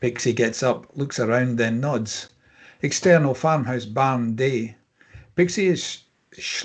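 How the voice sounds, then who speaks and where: A man with a husky, mid-pitched voice reads screenplay directions. It is scripted narration, setting out scenes and actions in short statements. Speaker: stage-direction reader.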